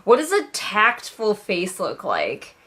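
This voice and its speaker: Woman reviewing a book, casual chatty read-along, really sarcastic, animated mocking emphasis, medium pitched voice. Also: slow.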